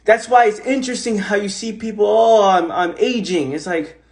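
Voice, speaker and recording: A high-voiced man speaks into his phone on a livestream, animated and repetitive. His talk is casual and motivational, with loose swearing. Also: normal speed. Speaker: host of an Instagram live.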